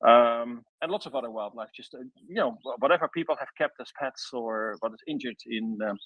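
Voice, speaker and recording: Middle-aged man, unhurried. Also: medium pitch. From Netherlands. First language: Dutch. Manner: unhurried and loosely structured, with hesitations. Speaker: guest, wildlife rescue founder. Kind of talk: online audio chat. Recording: dull, dry remote audio.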